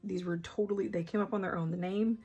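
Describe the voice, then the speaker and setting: Quiet, husky-voiced young woman. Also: mid-range voice, steady tone, quick pace. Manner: soft-spoken, many fillers and restarts. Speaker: artist explaining her small art business. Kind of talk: casual rambling vlog.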